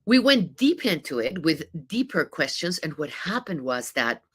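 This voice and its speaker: A woman's voice: sits low, very animated, normal speed, fairly bright tone, breathy edge. A middle-aged woman from Mexico with a Mexican Spanish accent, giving an informal talk full of statistics, stressing her points and often adding "you know" and "right?". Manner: emphatic, repeats key points, frequent "you know" and "right?". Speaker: think tank CEO speaker. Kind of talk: informal presentation with data.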